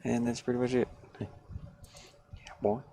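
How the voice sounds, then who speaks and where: A man's voice: mid-range voice, unhurried. A man streaming live as he works, thinking aloud casually and trailing off mid-thought. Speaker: live streamer making a game. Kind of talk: casual livestream work commentary, thinking aloud. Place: live stream from his van. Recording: steady background noise.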